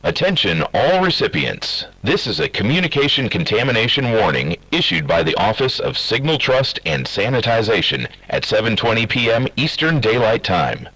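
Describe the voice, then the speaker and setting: A man reading a scripted emergency alert in a measured, formal, official manner. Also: on the low side, sharp tone, breathy edge, no echo, poor audio. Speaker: emergency broadcast announcer.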